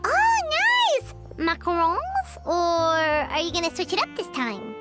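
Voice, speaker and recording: A tiny childlike fairy girl from a video game, curious and excitable. Her voice is extremely high and squeaky and bounces around in pitch. Loud music plays behind her. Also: a little slow, full character voice. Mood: delighted. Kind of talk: scripted video game dialogue.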